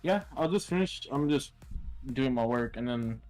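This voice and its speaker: California guy, casual chat on a stream, normal pitched voice, music clearly behind. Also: animated, moderate pace, low-quality recording. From California, United States. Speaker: stream guest. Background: background music.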